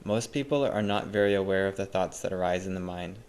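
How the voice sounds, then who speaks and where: A man with a low-pitched voice guides a meditation. He gives his scripted instructions calmly and gently, in a measured, teacherly manner. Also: clean tone.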